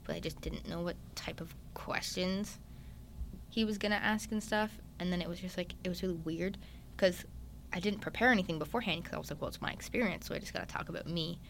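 A young man, a guest in a casual chat, talking openly and at length with lots of fillers and animated retellings. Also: high voice, fast, a little hiss or hum. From Newfoundland, Canada. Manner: chatty and open, many "like" fillers, animated retellings.

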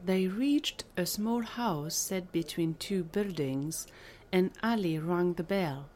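A woman's voice: lowish voice, slow, a little mellow. A woman reading scripted narration for an audio drama. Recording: clearly noisy background ambience.

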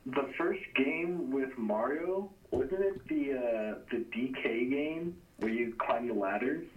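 A man's voice: medium pitch, takes it slowly, husky. A male caller chatting casually over a speakerphone line to a show. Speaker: phone-in caller. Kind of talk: casual chat. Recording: dull lo-fi speakerphone call, voices around.

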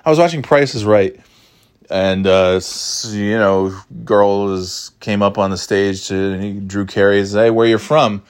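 A man's voice: on the low side, measured pace, clear, resonant tone. A middle-aged man from New York hosting a solo podcast, talking casually in a rambling, wry way with many restarts. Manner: rambling, self-interrupting, wry. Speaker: podcast host.

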